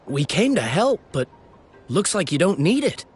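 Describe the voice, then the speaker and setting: A man's voice: sits high, very animated, moderate pace, soft background music. A man playing a friendly young battle ally in a fantasy video game, earnest and helpful.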